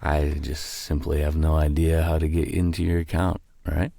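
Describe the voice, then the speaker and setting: A man plays a weary male support agent in a comedy phone sketch. He talks in a low, gravelly voice with resigned, deadpan patience. The recording is tight and dry. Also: normal speed, no room sound. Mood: deadpan, patient.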